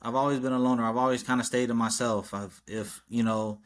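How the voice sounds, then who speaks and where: A man from Arkansas talking as a podcast guest, relaxed and informal, with a habit of saying "yes, sir" and chuckling between stories. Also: medium pitch, brisk. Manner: laid-back street talk, repeats "yes, sir", chuckles often. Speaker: podcast guest, tattoo artist and rapper. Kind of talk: casual interview chat.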